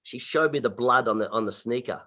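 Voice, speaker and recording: An Australian man, a martial arts instructor, telling stories in a relaxed interview over a video call, full of sound effects and restarts. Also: mid-range voice, brisk. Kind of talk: casual interview storytelling.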